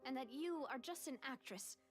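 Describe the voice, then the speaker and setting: Woman voicing a high-voiced, confident young leader. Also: normal speed, soft background music, close to an everyday voice. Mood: frank, considerate. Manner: reassuring, frank, self-assured. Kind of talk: video game voice acting.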